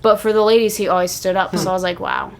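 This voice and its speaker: A young woman co-hosting a wrestling podcast, chatting casually and telling stories in slangy, animated talk. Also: medium pitch, fast talker, creaky. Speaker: podcast co-host. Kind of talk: casual chat about pro wrestling.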